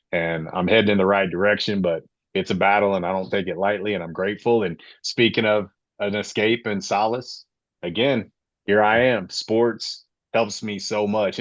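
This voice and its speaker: Low-voiced man in his late forties. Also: no echo, lo-fi sound. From Florida, USA. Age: 40s. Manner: passionate, personal storytelling. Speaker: podcast host. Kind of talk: casual sports podcast chat.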